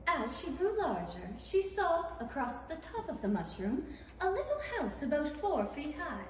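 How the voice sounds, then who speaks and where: A woman giving expressive, theatrical storybook narration for a radio play. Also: high voice, normal speed. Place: theatre stage, reading from script. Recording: echoey hall, distant, faint noise.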